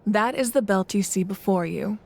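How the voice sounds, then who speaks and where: An actress playing a confident woman leader who tells stories in a calm, measured way, in a video game. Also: medium pitch, gravelly, lightly acted. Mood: explaining. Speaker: Archon of the land. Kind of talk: game voice acting.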